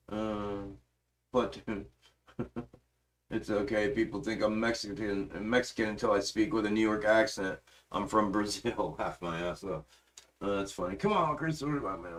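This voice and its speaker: A man's voice: on the low side, fairly bright tone. Profane, emphatic stock-stream host, man. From grew up in western Pennsylvania, adult life in the South. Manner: profane, emphatic, restless, trails off while working charts. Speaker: stock market livestream host. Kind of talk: casual live stream chat and chart commentary.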